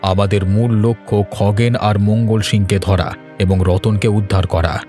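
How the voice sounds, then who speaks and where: A man voicing a grave, commanding village leader in a fairy tale cartoon, measured and authoritative. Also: lowish voice, steady tone, mellow, voice pushed down, natural voice. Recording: voice over background music.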